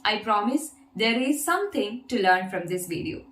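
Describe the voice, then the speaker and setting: A woman's voice: medium pitch, expressive, moderate pace, a bit bright, echoey room, slight background noise. A woman whose first language is Malayalam, teaching an English lesson and patiently demonstrating an app step by step. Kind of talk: video lesson.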